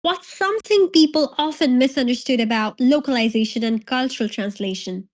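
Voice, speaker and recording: A woman hosts a channel's interview and puts questions to her guest one by one. Her voice is fairly high, and between answers she chimes in with brief encouraging remarks. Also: fairly quick. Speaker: host.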